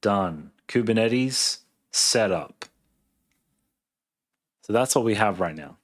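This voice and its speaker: Low-voiced Australian man, laid-back livestreamer. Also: expressive, takes it slowly. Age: 30s. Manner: laid-back, thinking aloud. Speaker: live streamer, software engineer. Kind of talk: casual livestream chat, reading and answering viewer questions.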